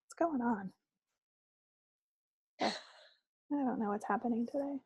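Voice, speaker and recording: Female podcast co-host, casual and rambling, with plenty of vocal fry and a dry, close sound. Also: a little high, measured pace, darkish tone, no room sound. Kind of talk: casual chat about projects. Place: recorded at own end of a remote video call.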